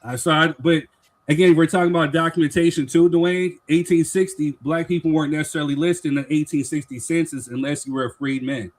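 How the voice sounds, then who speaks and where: Guy on a live stream arguing his point, kinda higher voice, keeps saying you know what i'm saying. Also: steady tone, brisk. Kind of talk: casual debate chat.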